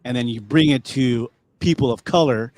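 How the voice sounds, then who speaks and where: A man's voice: medium pitch, normal speed, no room sound. A man with a Californian accent hosting a podcast, talking loosely with many fillers and restarts. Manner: relaxed, rambling, many fillers. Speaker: podcast host. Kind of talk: casual chat.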